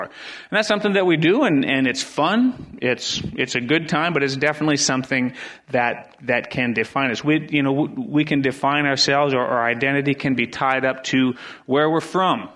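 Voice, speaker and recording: A man's voice: medium pitch, fairly bright tone. A middle-aged man from Wisconsin preaching a Sunday sermon in a church, with a conversational, rambling delivery full of restarts. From Wisconsin, USA. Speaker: pastor. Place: church sanctuary.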